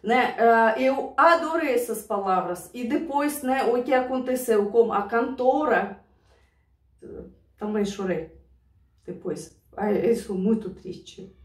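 High-voiced Russian woman reminiscing. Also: takes it slowly, distant mic. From Siberia, Russia. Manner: emotional, laughs often, addresses viewers fondly. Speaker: vlogger reacting to songs. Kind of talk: casual reminiscing to viewers. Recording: roomy, voice away from mic.

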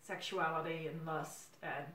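A woman's voice: sits low, steady tone, measured pace, fairly bright tone. Dutch-native woman, roomy and distant. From Netherlands. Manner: hesitant, thinking aloud. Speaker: video series host. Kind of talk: casual monologue to camera. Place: small room at home, indoors. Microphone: camera several feet away. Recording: roomy, distant camera microphone.

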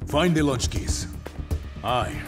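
A man's voice: mid-range voice, expressive, slow pace, over music. A hardened, wry male operative in a video game. He speaks in a middle register with short, formal, commanding phrases. A strong score runs underneath. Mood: terse, wry. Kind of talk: video game cutscene acting.

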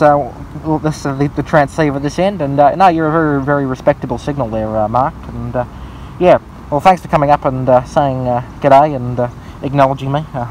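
A man's voice: medium pitch, darkish tone, rough recording. An Australian man talking as an amateur radio operator, repeating phrases and spelling out callsigns. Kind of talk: ham radio conversation. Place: outdoors in a local park. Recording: outdoor recording with faint background noise.

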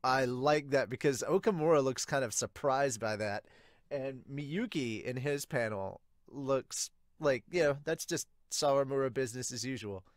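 A man's voice: mid-range voice, moderate pace, no echo, clean studio sound. A man in his thirties giving enthusiastic, casual reaction commentary as the host of a review channel. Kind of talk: casual reaction commentary with read-aloud dialogue. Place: small home room indoors.

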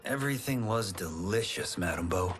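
An actor playing a modest, good-natured young village farmer and loyal friend in a video game cutscene, speaking earnestly and mildly. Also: male voice, low-pitched, animated, moderate pace, noisy background. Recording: music behind the voice.

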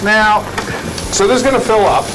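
Guy hosting a reno show, upbeat and jokey, higher voice that bounces around a lot. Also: lively intonation, background noise. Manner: upbeat, joking, asks quick questions. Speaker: TV host. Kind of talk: home renovation show hosting and voice-over. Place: outdoor backyard.

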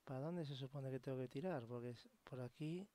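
A man's voice: mid-range voice, fairly quick, warm tone. A man from casually commenting on a horror game, swearing, sighing and thinking aloud as he searches for the way. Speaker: gameplay commentator. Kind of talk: casual let's play commentary.

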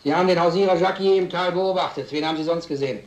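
An actor playing a calm, shrewd veteran police detective, measured, dry and authoritative, in an old crime drama. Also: male voice, a little high, natural voice. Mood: firm. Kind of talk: mid-century German film drama acting. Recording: roomy old soundtrack, some noise.

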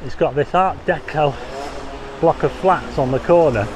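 A man's voice: fairly high pitch, normal speed, background noise. Chatty man narrating a street walk. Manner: chatty, asks viewers questions, wry asides. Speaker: walking tour vlogger. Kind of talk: casual walking commentary. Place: outdoors on a rainy town street.

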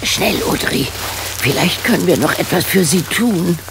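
A woman's voice: fairly low pitch, expressive, unhurried, noisy background. An actress in a radio drama playing a level-headed woman sleuth, dry, wry and decisive. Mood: urgent, commanding.

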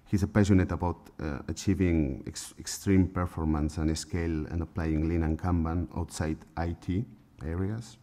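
A man in middle age hosts a panel discussion from a stage table, speaking into a desk microphone. His voice sits very low, with a mellow, dark tone. His phrasing is halting and full of small fillers. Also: steady tone. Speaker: panel moderator. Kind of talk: introducing panelists at a conference. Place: conference room on a panel stage.

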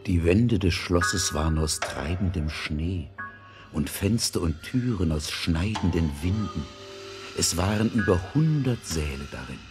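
A man's voice: sits low, animated, unhurried, music in the background. A man narrating a scripted fairy tale as the storyteller of an audio drama.

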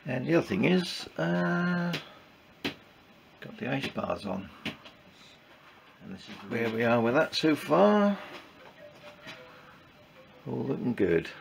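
A man giving informal hands-on workshop commentary in a halting, matter-of-fact way with clipped sentences. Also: mid-range voice, big pitch swings, gravelly. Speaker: presenter of a motorbike build vlog. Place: small wooden shed workshop. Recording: noisy workshop recording with background ambience.

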